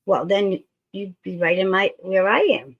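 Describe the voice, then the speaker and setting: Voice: medium pitch, measured pace. A co-host from Massachusetts chatting eagerly in a casual livestream. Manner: chatty, enthusiastic about the region. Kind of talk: casual chat. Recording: dull lo-fi sound.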